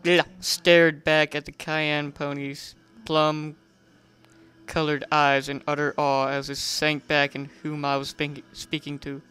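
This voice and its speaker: Slow-paced, clear-voiced story reader. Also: mid-range voice, little pitch movement, fairly bright tone. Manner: stumbles over words and corrects mid-sentence. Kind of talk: dramatic reading of fan fiction, first person narration.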